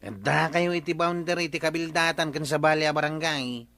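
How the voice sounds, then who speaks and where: A man's voice: fairly high pitch, brisk, pushed and bright. A man with an Ilocano accent playing an earnest, talkative village fellow in a comedy radio drama. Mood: earnest, insistent.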